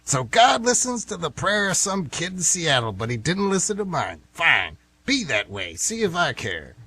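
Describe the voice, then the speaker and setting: Casting: male actor as stubborn, folksy retiree of fifty-six, husky and bright, an aggrieved grumbler for radio theatre. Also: a little high, huge pitch swings, normal speed, no echo, full character voice. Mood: indignant. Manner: folksy, defensive, exclamatory. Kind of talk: amateur radio theatre acting.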